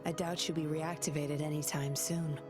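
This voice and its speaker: Woman acting sly, low-voiced female undercover investigator. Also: flat delivery, normal speed, natural voice. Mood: serious, businesslike. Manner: coy, composed, teasing. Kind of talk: scripted video game voice acting. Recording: music under the voice.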